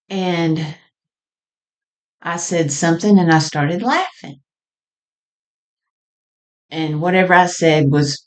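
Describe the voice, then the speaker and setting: A woman telling personal stories in a halting, conversational way with frequent small chuckles as she reminisces. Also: sits low. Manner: halting and conversational, often chuckling mid-sentence. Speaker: storyteller sharing personal experience.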